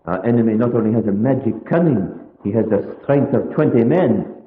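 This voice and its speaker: A man's voice: mid-range voice, expressive, fairly quick, lightly acted. A man playing a grave, learned vampire hunter in an old-time radio play, speaking formally and solemnly with oratorical, archaic phrasing. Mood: grave warning. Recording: muffled band-limited radio recording, long echoing reverb.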